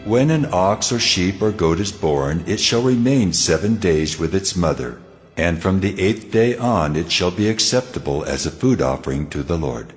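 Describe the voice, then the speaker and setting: An actor playing God as a solemn, commanding lawgiver in a dramatized scripture reading. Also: male voice, low-pitched, animated, normal speed. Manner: solemn, declarative. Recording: long cavernous reverb, music underneath. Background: music.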